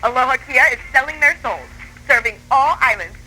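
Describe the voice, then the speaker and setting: A woman announcer giving an upbeat, scripted car dealership sales pitch. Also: lively intonation, fairly quick, sharp tone, slight background noise, low-quality recording. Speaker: commercial announcer.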